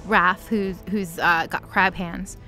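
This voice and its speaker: A woman's voice: on the low side, fairly even intonation, slow pace, pushed and bright. A woman telling a story in a casual, comedic way, with slangy, sarcastic, playful delivery. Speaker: co-host recapping a fantasy story. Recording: music under the voice.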